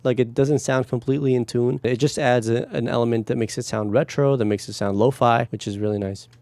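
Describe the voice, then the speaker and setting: A man's voice: medium pitch, fairly even intonation, fairly quick, gravelly, a little mellow, dry recording. A man from Guatemala, a music producer, talking casually as he reacts to songs and explains production techniques, humming beats along. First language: Spanish.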